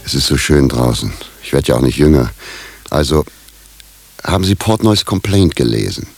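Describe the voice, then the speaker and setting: An actor in a radio comedy playing a self-pitying, lovestruck married professor, anxious and melodramatic, with a gravelly, husky voice. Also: male voice, extremely low pitch, expressive, normal speed, dry recording, soft background music, full character voice. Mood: wheedling, wistful. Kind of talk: radio comedy drama acting.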